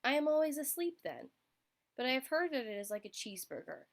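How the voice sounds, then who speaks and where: A woman's voice: high voice, very animated, brisk, vocal fry, voice pushed up, full character voice. A woman voicing a hungry, scruffy male raccoon in a children's storybook, pondering and eager. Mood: wondering.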